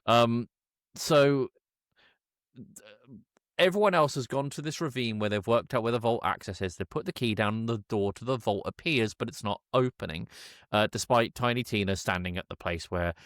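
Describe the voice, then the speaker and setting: A man co-hosting a podcast, giving a casual comedic film recap in a halting, irreverent way with mock-quoted dialogue. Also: mid-range voice, fairly bright tone, no echo, studio quality. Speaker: podcast co-host.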